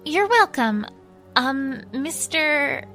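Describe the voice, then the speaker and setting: A woman voicing a gentle young pony princess in a fan audio drama, hesitant, apologetic and stammering. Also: high voice, expressive, extremely slow, full character voice. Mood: polite, flustered. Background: music.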